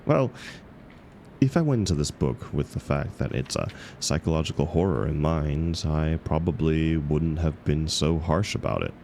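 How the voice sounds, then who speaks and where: A man's voice: a bass voice, moderate pace, vocal fry, a little mellow, a little hiss or hum. A man playing an awkward, bashful book club member in an intimate scripted roleplay, speaking hesitantly with stammers and self-deprecation. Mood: sheepish, reflective. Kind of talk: scripted romantic audio roleplay, intimate one-sided dialogue.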